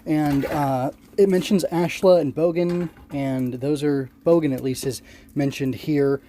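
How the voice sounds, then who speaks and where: Slightly high-voiced man rambling through a review. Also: steady tone, a little slow, mellow. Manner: rambling, thinking aloud, self-correcting. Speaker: podcast host and reviewer. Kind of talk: casual solo review commentary.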